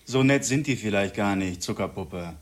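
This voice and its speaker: An actor playing a cocky, crude, sweet-talking outlaw killer with a rough voice in a German radio drama. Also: male voice, fairly low pitch, moderate pace, a little hiss or hum, natural voice. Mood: smug, teasing.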